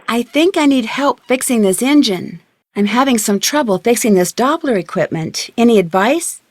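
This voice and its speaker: A young female detective from a video game, with a high voice recorded close and dry. She sounds inquisitive and polite, speaking clearly with self-assured confidence. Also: animated, moderate pace, darkish tone, no echo.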